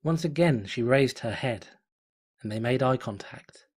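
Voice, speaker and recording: A man narrating a fan story at home, reading in a measured, dramatic way. His voice sits at an ordinary, mid-range male pitch. He lets the suspense build steadily from line to line. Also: animated, darkish tone, slightly rough. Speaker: fanfiction reader.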